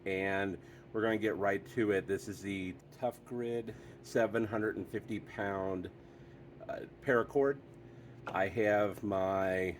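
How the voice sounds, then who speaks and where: A man's voice: lowish voice, slight background noise. A man hosting a casual product review, talking in an easygoing, chatty way with frequent fillers.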